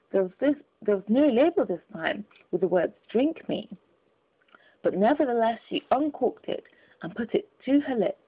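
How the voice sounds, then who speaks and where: Breathy woman reading a storybook aloud. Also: medium pitch. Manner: expressive, careful reading. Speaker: audiobook reader. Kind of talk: storybook narration. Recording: tinny phone-like audio.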